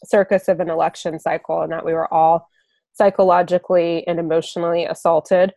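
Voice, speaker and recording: A woman hosting a podcast, talking in a measured, reflective way. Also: on the low side, level tone, vocal fry, warm tone, no echo. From United States. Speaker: podcast host. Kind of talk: casual podcast chat.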